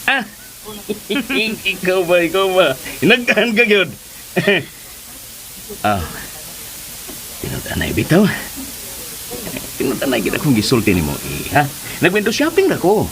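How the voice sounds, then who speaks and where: Flustered Filipino uncle, wildly swooping voice. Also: male voice, sits high, wildly swooping pitch, unhurried, long pauses, fairly bright tone, exaggerated voice, low-quality recording. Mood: flustered, eager. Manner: stammering, hemming, exclaiming. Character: leering, flustered older uncle fond of young women. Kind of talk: Filipino radio drama acting.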